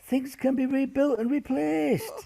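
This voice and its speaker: A man's voice: extremely high pitch, fairly even intonation, a little slow, gravelly, a crowd in the background, exaggerated voice. A man playing a sheepish but reassuring wartime grandfather in an amateur radio play, hesitant and repeating himself. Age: older. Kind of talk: amateur radio drama acting.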